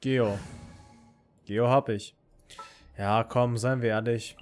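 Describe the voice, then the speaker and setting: A man's voice: medium pitch, steady tone, slow, darkish tone. A man with a German accent giving casual live commentary as he streams a video game. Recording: game music behind the voice. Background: game music.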